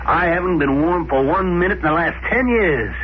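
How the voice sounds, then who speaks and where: A male actor plays a hard-bitten exiled convict miner in a vintage radio drama. His highish voice swings animatedly through slangy, whining complaint. The old broadcast recording is dull and hissy. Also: lively intonation, normal speed, lightly acted. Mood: pleading. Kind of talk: 1950s radio drama acting.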